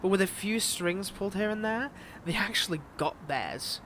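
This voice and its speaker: A man's voice: high-pitched, animated, a bit bright. Man playing a taunting flirty villain ex, noisy backdrop. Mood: taunting, resentful. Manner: teasing, mocking, drawn-out delivery with pet names. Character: flirtatious, taunting male villain, a bitter scorned ex-lover. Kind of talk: dramatic audio roleplay acting addressed to listener.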